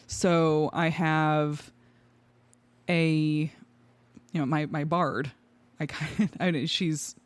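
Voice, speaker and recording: A woman's voice: deep voice, fairly even intonation, extremely slow, no echo. A woman telling personal stories in casual conversation as a podcast guest, acting out bits of her own thoughts as she goes.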